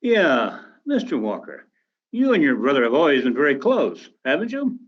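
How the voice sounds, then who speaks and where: Investigator in radio drama, polite but evasive, very up and down voice, slightly muffled sound. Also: male voice, medium pitch, huge pitch swings, normal speed. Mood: probing. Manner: probing, politely noncommittal. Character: businesslike, evasive male investigator following orders.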